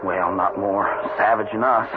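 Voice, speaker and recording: An actor playing a kindly, protective young tenderfoot cowhand in an old radio western, polite, folksy and eager. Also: male voice, on the low side, fairly even intonation, normal speed, natural voice. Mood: kindly, reassuring. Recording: muffled, noisy old radio broadcast.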